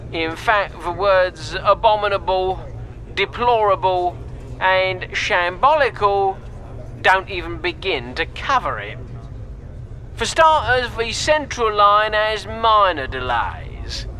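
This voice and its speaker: A man voicing a London Underground train driver making deadpan, apologetic announcements over background noise. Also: high voice, slow pace, heavily acted. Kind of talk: comic announcement in a voice reel.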